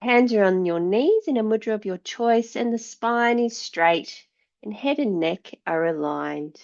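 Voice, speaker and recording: A woman teaching yoga, leading a guided meditation in a calm, measured, soothing way with long pauses between phrases. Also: medium pitch, dull audio.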